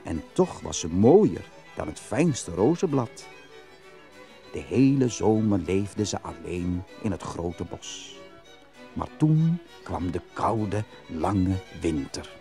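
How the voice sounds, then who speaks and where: Animated, mellow male storyteller. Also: sits low, takes it slowly. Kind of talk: expressive fairytale narration. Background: music.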